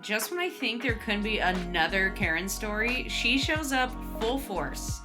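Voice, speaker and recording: A woman's voice: medium pitch, expressive, measured pace. Woman narrating stories over music. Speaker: narrator and host. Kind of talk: scripted story narration with commentary. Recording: music under the voice.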